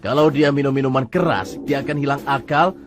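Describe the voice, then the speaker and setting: A conspiring townsman in a cartoon, voiced by a man, speaking slowly and secretively in a middle-pitched voice. Loud music fills the background. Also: natural voice.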